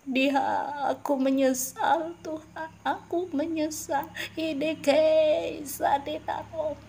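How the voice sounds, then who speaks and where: A woman's voice: fairly high pitch, level tone, slow, creaky, slight background noise. A woman crying out as an anguished soul. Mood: anguished pleading. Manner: pleading, anguished cries. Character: anguished regretful soul begging for mercy.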